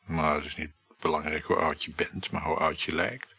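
Radio play male lover: self-regarding, wheedling, murmured delivery, deep breathy voice. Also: level tone, moderate pace, pushed and bright, full character voice. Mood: piqued, insistent. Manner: murmuring, coaxing, often dropping to whispers. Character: vain married male lover, fishing for flattery. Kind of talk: intimate radio play dialogue.

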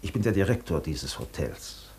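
An actor playing an obsequious, anxious hotel director in a German radio drama, stiffly courteous and deferential. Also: male voice, sits low, lively intonation, moderate pace, darkish tone, a little hiss or hum. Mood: stiffly apologetic. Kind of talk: German radio play acting.

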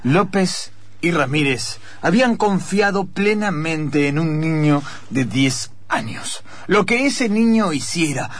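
A man's voice: on the high side, a bit bright. A man with an Argentine accent narrating an improvised radio serial in a dramatic, suspenseful style. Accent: Argentine, Rioplatense.

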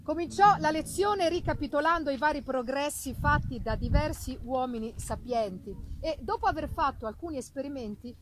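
A woman giving a dramatic literary narration in the first person, deliberate and theatrical, pausing for effect. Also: high voice, expressive, noisy background. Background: live musical accompaniment.

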